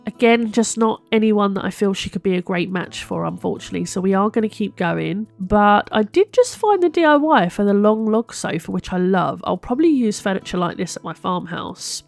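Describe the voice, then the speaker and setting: A woman's voice: medium pitch, lively intonation, brisk, vocal fry, hardly any pauses, darkish tone. Slightly congested woman narrating gameplay over music. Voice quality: slightly congested, bunged-up nose. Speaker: host of a gaming video series. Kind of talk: casual gameplay commentary, chatty.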